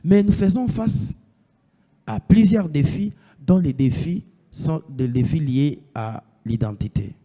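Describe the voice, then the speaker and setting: A man's voice: on the high side, animated, slow pace, warm tone, long reverb tail. A man in his thirties preaching a sermon, asking the congregation questions and answering them himself, repeating his phrases. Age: 30s.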